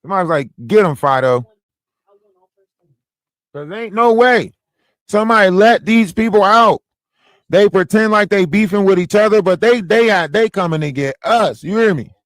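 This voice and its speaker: A man's voice: high voice, expressive, moderate pace, long pauses, no echo. A man talking in a casual live stream, emphatic and repetitive, often asking if he is heard. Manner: emphatic, repeating "you hear me".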